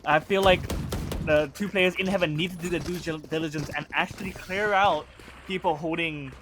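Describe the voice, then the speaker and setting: A man's voice: on the high side, fairly even intonation, normal speed. A man giving casual, analytical color commentary on an esports match. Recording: noisy background.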